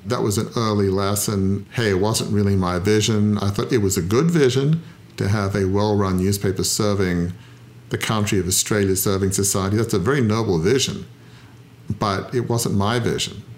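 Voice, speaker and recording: Thoughtful low-voiced middle-aged man. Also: a little mellow. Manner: thoughtful, self-deprecating, frequent "uh" pauses. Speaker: founder and interview guest. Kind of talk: reflective interview conversation.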